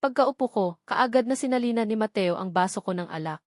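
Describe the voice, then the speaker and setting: A woman's voice: on the high side, expressive, fairly quick, a little mellow, no room sound, clean studio sound. A woman reading scripted first-person romance narration as an audiobook narrator.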